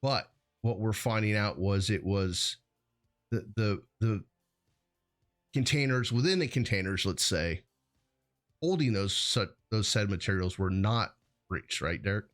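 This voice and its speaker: Low-voiced American man, informal talk show host. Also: unhurried, long pauses, a bit bright, soft background music. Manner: informal, rambling, peppered with "you know". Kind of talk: casual conversational discussion.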